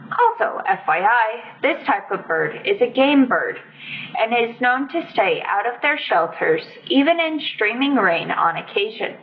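A woman's voice: a little high, slight background noise. Woman as a plain-spoken farm worker, slightly muffled. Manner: explanatory, unbothered, plain-spoken. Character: matter-of-fact farm worker rebutting online comments. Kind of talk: dramatized reading of internet comments.

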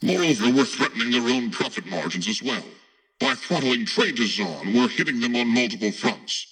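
A man voicing a cold, condescending council politician in an audiobook, husky, with a formal, lecturing, superior manner. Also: medium pitch, lively intonation, moderate pace, fairly bright tone, low-quality recording. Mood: calculating. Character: cold, condescending council politician favouring harsh trade measures. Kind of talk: audiobook character acting.

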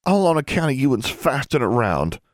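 A man's voice: medium pitch, big pitch swings, normal speed, no room sound, studio quality, pitched above the performer's own voice, close to an everyday voice. A man voicing an earnest, old-fashioned rustic schoolgirl in an audiobook, admonishing in folksy rural dialect. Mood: accusing.

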